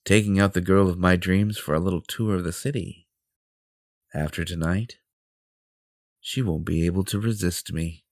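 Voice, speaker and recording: A man's voice: sits low, steady tone, moderate pace, long pauses, no room sound, clean studio sound. A man playing a vain, possessive superhero in an audio roleplay, theatrical and self-important, with a flirtatious streak. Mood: scheming, smug.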